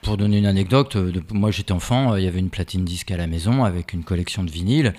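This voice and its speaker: Low-voiced hesitant male musician. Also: fast talker, a little mellow. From half Norwegian, half French. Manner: hesitant, many fillers and restarts. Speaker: musician guest. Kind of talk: casual radio interview.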